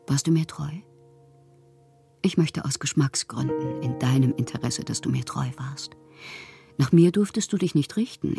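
An actress playing a proud, lovelorn woman poet in a literary radio drama monologue, theatrical and intimate with a husky, breathy voice. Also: low voice. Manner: theatrical, poetic, intimate, at times whispering. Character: wounded, proud, lovelorn woman poet styling herself a prince.

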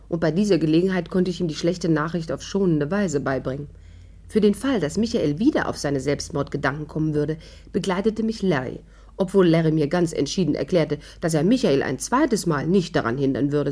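A woman's voice: deep voice, expressive, brisk, dark tone. An actress in a 1950s German radio play playing a witty, resolute woman who speaks with poised, dry irony. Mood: wry narrating. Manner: poised, dryly ironic. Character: witty, resolute, worldly woman amateur adventurer and narrator.

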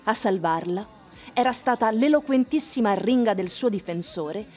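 A woman giving measured, scripted literary narration for a radio production. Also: medium pitch, lively intonation, darkish tone. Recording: radio production over music bed.

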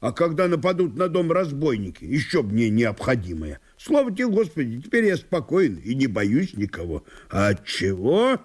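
An actor voicing a stubborn small-town landowner, blunt, grumbling and easily indignant, in a radio reading of a classic story. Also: male voice, medium pitch, sing-song, moderate pace, few pauses, breathy edge. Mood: stubborn, defensive. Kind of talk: radio literary solo performance.

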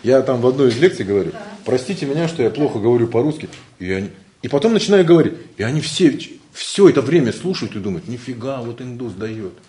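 Male spiritual lecturer from Siberia, mid-pitched, casual and jokey delivery with loud peaks, slight background noise. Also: lively intonation, fast. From Siberia, Russia. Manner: joking, colloquial, swings between loud bursts and quiet asides.

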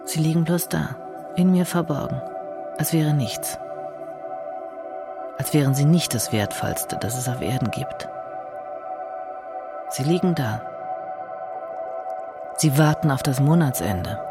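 A low, gravelly woman's voice murmurs an intimate drama monologue. Her delivery is hushed and deliberate, in short trancelike phrases, with music underneath. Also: animated, heavily acted. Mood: entranced, tender. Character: woman narrating a dark, trancelike inner monologue, fearless.

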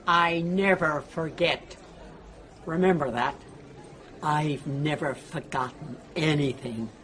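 An actress playing a malevolent old matriarch in a radio drama, speaking with deliberate, implacable menace. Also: female voice, low voice, fairly bright tone, a little hiss or hum. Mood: cold menace. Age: older.

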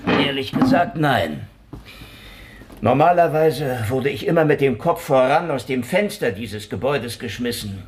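A man's voice: medium pitch, expressive, unhurried, slightly rough. An actor playing a sardonic, hard-drinking Russian private snoop in a noir radio play, wisecracking and needling as he goes. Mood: mocking. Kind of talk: noir radio drama acting with first-person narration. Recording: studio radio play with music underneath.

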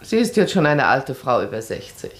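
An actress playing a self-assured, perceptive baroness in a radio play, speaking decisively, eloquently and with emphasis. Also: female voice, extremely low pitch, sing-song, normal speed. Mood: insistent, impassioned.

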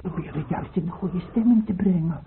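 A woman's voice: fairly low pitch, brisk, gravelly, noisy background. A woman acting an anxious, fussing middle-aged mother in a radio drama, hesitant and solicitous. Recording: muffled phone-like old radio recording.

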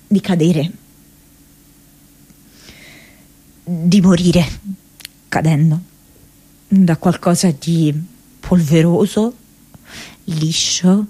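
An actress playing an earnest, haunted young American woman activist in an intimate radio drama monologue, speaking haltingly with hesitant pauses and repeated words. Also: fairly low pitch, dark tone, slightly rough. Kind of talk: intimate radio drama monologue, diary read as spoken memories.

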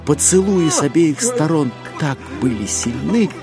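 A man narrating a literary audio drama as a storyteller, with measured, expressive phrasing. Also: fairly high pitch, over music.

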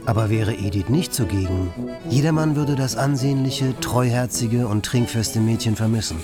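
A man's voice: mid-range voice, normal speed, loud music behind the voice, lightly acted. A man acting as an ironic, dryly satirical narrator in a literary radio play, speaking in an articulate, pointed and theatrical way. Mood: dry irony.

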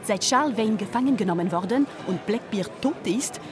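A woman's voice: medium pitch, animated, brisk, over music, natural voice. An actress playing a worldly, wry Frenchwoman with a French accent in a radio drama, chatty and knowing. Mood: cautioning. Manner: chatty, teasing, tag questions. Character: worldly, teasing Frenchwoman, wry pirate confidante.

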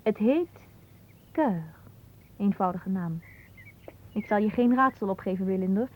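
A woman's voice: mid-range voice, a little slow, phone-quality sound, natural voice. An actress playing a capricious, haughty young woman in a radio play, teasing and theatrical, with a dreamy storytelling way. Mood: coaxing. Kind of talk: Dutch radio play acting.

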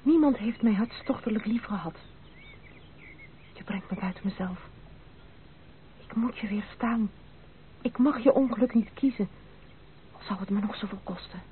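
Through a narrow, noisy old radio recording comes an actress playing a proud young woman in love. She speaks with earnest drama and halting repetitions, as if wrestling with her conscience, in classic radio play manner. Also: mid-range voice, lightly acted. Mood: overwhelmed, hushed. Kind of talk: Dutch radio play acting.

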